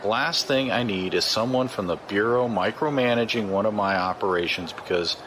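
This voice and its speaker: Man playing bullying corrupt cop boss, gruff, low voice, impatient, noisy urban backdrop, audio drama. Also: moderate pace, a bit bright, close to an everyday voice. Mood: irritated, impatient. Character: gruff, corrupt, bullying male police chief. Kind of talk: science fiction audio drama acting.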